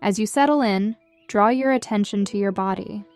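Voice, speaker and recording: Clear, soothing woman guiding meditation. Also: mid-range voice. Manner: soothing, measured, gentle instruction. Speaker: meditation guide. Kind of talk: scripted guided meditation narration. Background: background music.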